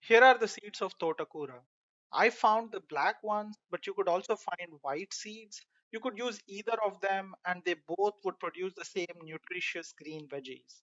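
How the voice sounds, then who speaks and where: A man's voice: high voice, moderate pace, fairly bright tone, dry recording, muffled recording. A man from India hosting his own gardening video, walking viewers through numbered tips in a friendly, instructive way. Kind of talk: narrated gardening tutorial.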